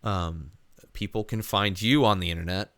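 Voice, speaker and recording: A man hosting a casual comedy podcast, talking in a rambling, halting way with plenty of swearing. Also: deep voice, fairly bright tone, no room sound, studio quality. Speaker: podcast host.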